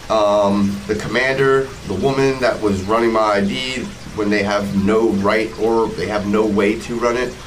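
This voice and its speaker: A man's voice: on the low side, noisy background. An American man in his forties narrating footage casually, in a confrontational, sarcastic manner with plenty of swearing. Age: 40s. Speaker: video blogger, police auditor.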